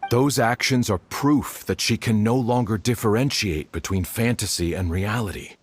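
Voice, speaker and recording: An actor playing a solemn household doctor in a video game, speaking in a formal, measured way. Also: male voice, lowish voice, breathy edge, no room sound. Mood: solemn, regretful. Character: grave, measured male household doctor bearing sad news.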